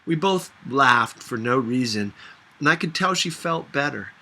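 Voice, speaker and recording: A man's voice: mid-range voice, lively intonation, unhurried, sharp tone. A man reading a first-person story aloud in a conversational, reflective manner. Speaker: audiobook reader.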